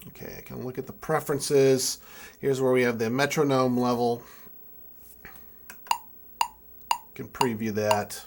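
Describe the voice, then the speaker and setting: A man in his twenties giving a casual hands-on tutorial, thinking aloud in a halting way as he works. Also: mid-range voice, fairly even intonation, a little hiss or hum. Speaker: music keyboard tutorial presenter.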